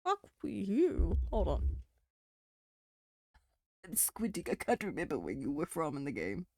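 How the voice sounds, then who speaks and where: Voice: mid-range voice, wildly swooping pitch, unhurried, dark tone, no echo. Kiwi streamer rambling n swearing, voice jumps all over, dry close sound. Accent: New Zealand. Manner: rambling and swearing, with frequent laughs. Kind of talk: casual live-stream chat.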